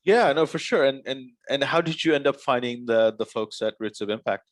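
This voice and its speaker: A man's voice: mid-range voice, fairly quick, no echo. A man moderating an interview, introducing the guest and asking questions with many uhs and you-knows. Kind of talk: online panel moderation.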